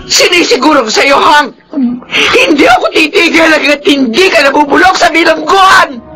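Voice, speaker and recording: Sharp, high-pitched vengeful Filipino mother. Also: expressive, moderate pace, poor audio. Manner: bitter, accusing, melodramatic. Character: grieving, vengeful mother accusing her daughter's killer. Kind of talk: Filipino radio drama acting.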